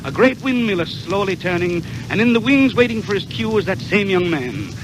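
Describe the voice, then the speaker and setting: A man's voice: high voice, big pitch swings, moderate pace, background noise. A man announcing a 1930s radio show in a theatrical, declamatory showman style, on an old broadcast recording.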